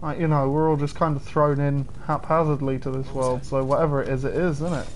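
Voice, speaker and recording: Young man, slightly high voice, easygoing streamer banter, faint hiss behind. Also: level tone, brisk, rough recording. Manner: chatty, says "man" a lot, swears at the game. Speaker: game streamer. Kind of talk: casual livestream commentary.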